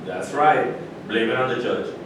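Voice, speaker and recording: A man's voice: mid-range voice, measured pace, natural voice. In a hugely echoey room, distant and noisy, a man plays a skeptical male needler in a stage reading. He questions and argues in an everyday voice. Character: skeptical, needling man who challenges his peers.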